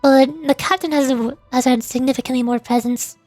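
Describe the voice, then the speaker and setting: Female VTuber, high voice, loose rambling chat with viewers, dry sound over faint music. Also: fairly even intonation, fairly quick, darkish tone, no room sound. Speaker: VTuber game streamer. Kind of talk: casual livestream commentary.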